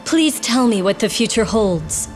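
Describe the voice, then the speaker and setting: Actress for young game princess: mid-range, measured, formal, earnest and melancholy. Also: female voice, mid-range voice, lively intonation, soft background music, close to an everyday voice. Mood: earnest, resolute. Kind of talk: fantasy video game voice acting.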